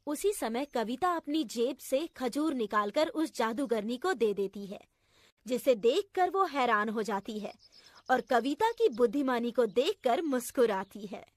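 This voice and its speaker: Woman, high voice, unhurried pace, scripted children's storytelling, dry recording. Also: animated, no room sound.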